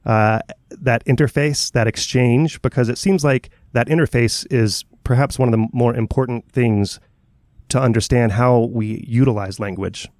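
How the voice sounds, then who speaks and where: A man's voice: mid-range voice, moderate pace, a little mellow, dry recording. Host, male, mid voice, hesitant questioner, dry close sound. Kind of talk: interview questions.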